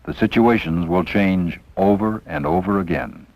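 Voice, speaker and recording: A deep-voiced man narrates a 1970s training film. His delivery is formal and measured, with deliberate emphasis on each phrase of the script. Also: heavy background noise.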